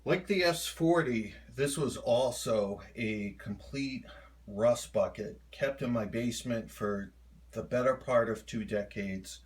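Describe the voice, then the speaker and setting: A middle-aged man giving a casual, halting show-and-tell as a hobbyist, unhurried and keen on the details. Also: mid-range voice. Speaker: hobbyist presenter of a radio collection.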